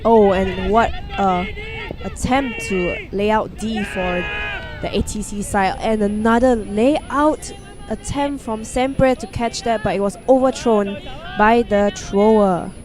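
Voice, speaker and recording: A woman's voice: mid-range voice, slow pace, darkish tone. A woman doing chatty, detailed live play-by-play commentary at an outdoor field. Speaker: sports commentator. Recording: outdoor recording with clear crowd noise. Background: crowd.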